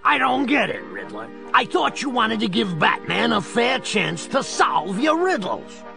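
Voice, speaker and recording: A dopey villain's thug for an audio drama. The voice is gravelly and swings widely in pitch, slow and confused, with music behind it. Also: male voice, sing-song, full character voice. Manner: slow-witted, hesitant. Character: dim-witted, confused male henchman thug. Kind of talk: full-cast audio drama acting.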